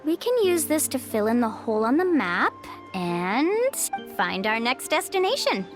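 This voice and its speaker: A woman voicing an upbeat, friendly young adventure guide in a video game, expressive and encouraging. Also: fairly high pitch, unhurried, soft background music. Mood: excited.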